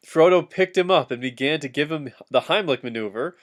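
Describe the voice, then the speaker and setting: A man's voice: on the high side, lively intonation, normal speed. A man doing a comic dramatic reading of fan fiction, giggly and often breaking off to heckle the text with asides.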